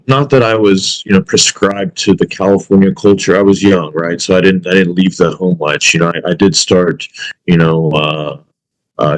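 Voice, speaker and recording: A man's voice: sits low, poor audio. A man in his thirties from the United States whose first language is Arabic, talking reflectively as a podcast guest with frequent fillers. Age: 30s. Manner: reflective, many fillers and restarts. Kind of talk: casual interview chat.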